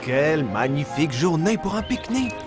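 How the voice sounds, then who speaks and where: A man voicing a kind, wise young lion in a French children's cartoon, expressive and gently instructive. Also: a little high, a little fast, loud music behind the voice. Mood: cheerful.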